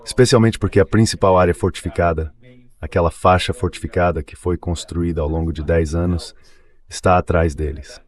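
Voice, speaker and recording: Low-pitched synthetic male commentator. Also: fairly even intonation, brisk. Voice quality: AI-generated dubbed voice. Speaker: political commentator guest. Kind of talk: geopolitical analysis in an interview.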